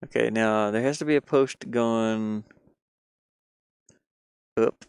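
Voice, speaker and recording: Low, creaky-voiced man muttering casually. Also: slow pace, a little mellow, no echo, studio quality. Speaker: game developer streaming his pixel art work. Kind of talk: casual think-aloud work commentary.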